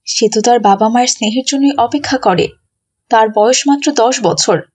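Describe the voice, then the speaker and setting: Woman acting as a worried, high-voiced cartoon mother. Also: normal speed, darkish tone, close to an everyday voice. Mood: remorseful. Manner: earnest, moralising. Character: worried, remorseful, pious mother of a young girl.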